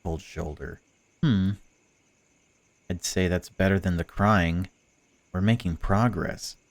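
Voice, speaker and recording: Guy reading for a creepy stalker woman in a visual novel, chatty n condescending, low voice. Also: slow pace. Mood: breezy, patronising. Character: cold, mocking female stalker, falsely caring captor. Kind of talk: visual novel dialogue read aloud.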